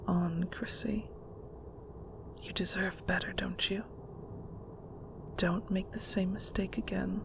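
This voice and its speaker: Actress as controlling matriarch of a magic clan: gravelly, unhurried, condescending endearments, often breathy, noisy ambience behind. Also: female voice, on the low side. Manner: slow, deliberate, condescending, with terms of endearment. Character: stern, manipulative mother of a secret magical family. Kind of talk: scripted audio roleplay drama.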